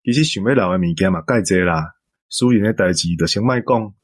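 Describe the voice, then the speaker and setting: A man with a Taiwanese accent talking calmly and reflectively in conversation. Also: sits low, fairly quick, no echo, studio quality.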